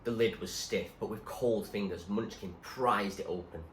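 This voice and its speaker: A man reading a story aloud as a teacher would, in expressive storybook narration. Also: fairly low pitch, moderate pace. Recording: roomy echo, faint background noise.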